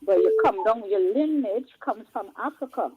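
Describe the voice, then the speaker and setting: Trinidadian woman calling in, husky phone voice. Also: on the high side, animated, moderate pace, no echo. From Trinidad and Tobago. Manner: emphatic, chatty, rhetorical asides. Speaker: caller. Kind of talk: casual call-in chat. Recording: tinny phone line.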